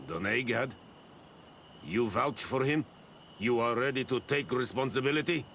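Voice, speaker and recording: A man playing a gruff, distrustful guard of a fantasy clan in a video game. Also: mid-range voice, normal speed, fairly bright tone, slight background noise, close to an everyday voice. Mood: stern, suspicious.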